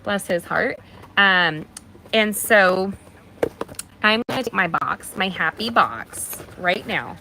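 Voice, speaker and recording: A woman's voice: medium pitch, expressive, takes it slowly, vocal fry, slight background noise, rough recording. A woman hosting a live card-making stream, chatting and encouraging her viewers as she works, her voice a little nasal from allergies. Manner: warm encouraging, talks to viewers by name. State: mild allergies. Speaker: craft demonstrator hosting a livestream. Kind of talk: chatty live craft demonstration.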